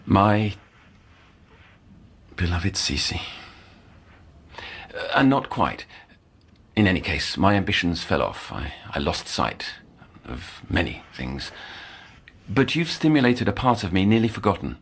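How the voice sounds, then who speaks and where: An actor playing an earnest, brooding middle-aged male doctor in an audio drama, speaking formally and haltingly in erudite language. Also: sits low, very animated, slightly rough, exaggerated voice. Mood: wistful, scholarly. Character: earnest, scholarly, brooding male doctor haunted by past failures.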